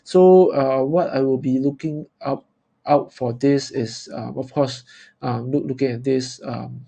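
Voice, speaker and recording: A man from Singapore with a Singaporean accent gives informal commentary as a trader, in a halting, repetitive, stop-start way. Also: mid-range voice, fairly even intonation, creaky, mellow. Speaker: forex trader presenting market analysis. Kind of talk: informal live chart commentary.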